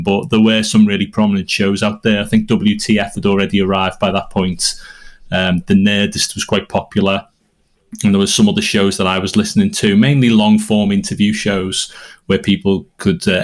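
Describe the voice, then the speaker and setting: Low-voiced Liverpool man, thoughtful ums. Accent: Liverpool English. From United Kingdom. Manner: thoughtful, hesitant with many ums. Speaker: podcast co-host, songwriter. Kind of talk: casual interview answers.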